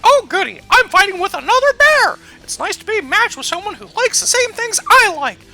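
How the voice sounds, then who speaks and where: A woman's voice: very high-pitched, big pitch swings, fairly quick, bright, forceful tone, faint music behind, exaggerated voice, low-quality recording. A woman voicing a sweet, innocent little male teddy bear in a cheerful, naive cartoon style. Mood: gleeful. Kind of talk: cartoon character voice.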